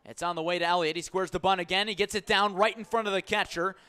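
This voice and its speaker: A man calling a baseball game live on radio as play-by-play announcer, measured between pitches and lifting his voice on the action. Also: smooth. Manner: measured narration rising to excited shouts on key plays. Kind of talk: live sports commentary.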